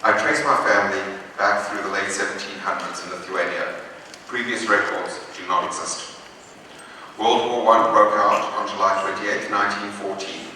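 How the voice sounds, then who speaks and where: A man giving a prepared, read-aloud presentation in a lecture hall, measured and deliberate, slowing down for emphasis. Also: fairly low pitch, fairly even intonation, sharp tone. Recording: echoey hall from far off with faint noise.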